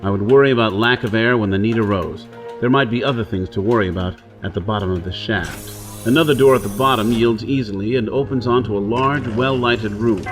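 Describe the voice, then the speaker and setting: A man's voice: mid-range voice, close to an everyday voice. An actor playing a rugged, wary Earth-born outlaw on Mars in an audio drama, intense and theatrical as he talks himself through things. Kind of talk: audio drama acting with first-person narration.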